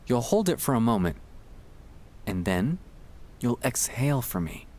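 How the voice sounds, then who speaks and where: A man speaking softly and soothingly, often slipping toward a whisper, as he gently guides breathing in a sleep-aid ASMR style. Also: mid-range voice, big pitch swings, unhurried. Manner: soft-spoken, soothing, often dropping into whispers. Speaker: ASMR creator guiding breathing exercises.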